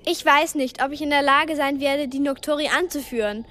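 A woman's voice: sits high, fairly even intonation, moderate pace, sharp tone. A woman playing a brave, earnest twelve-year-old girl in a radio play, frightened but resolute.

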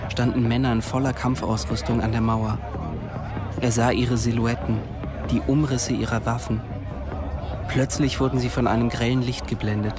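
A man's voice: mid-range voice, little pitch movement, normal speed. A man reading scripted literary narration for a radio drama.

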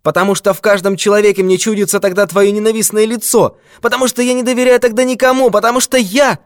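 A man's voice: high-pitched, lively intonation, fairly quick, no echo, clean studio sound, voice pushed up. A man voicing a terrified old miser who wails and pleads in a strained, raised voice. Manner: wailing, pleading.

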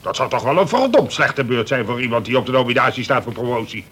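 A man's voice: mid-range voice, animated, quick pace, fairly bright tone, slight background noise, close to an everyday voice. Exasperated prison governor played by a man, radio drama. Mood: alarmed, harassed. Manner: exasperated, swearing, officious. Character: male prison governor, senior colonel, worried, blustering, cautious. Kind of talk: Dutch radio play acting.

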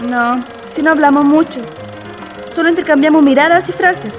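A woman's voice: sits high, moderate pace, soft background music, lightly acted. A woman acting an earnest, lovestruck young woman in an amateur radio play, with a Chilean accent and a dreamy, girlish manner.

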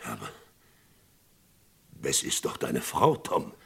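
An actor playing a boisterous, gruff Wild West sheriff in a German radio crime drama, gravelly and husky, with a blustering manner. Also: male voice, rumbling low, wildly swooping pitch, takes it slowly, heavily acted. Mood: shaken, probing. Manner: blustering, swings from hearty to hushed.

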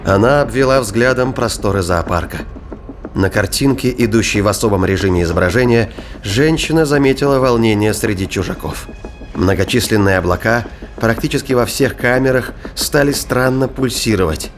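A man's voice: on the low side, expressive, normal speed. A man reading scripted narration for a science-fiction thriller audio drama. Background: music.

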